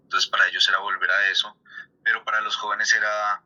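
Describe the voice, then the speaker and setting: A man answering conversationally in an interview, halting and repeating words as he searches. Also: on the low side, level tone, sharp tone, rough recording. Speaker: theatre performer being interviewed.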